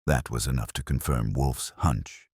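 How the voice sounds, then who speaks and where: Male audiobook narrator for hardboiled mystery: husky, dry close sound, dramatic, wry first-person delivery. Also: a bass voice, unhurried, a little mellow, no room sound. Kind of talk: scripted first-person detective story narration.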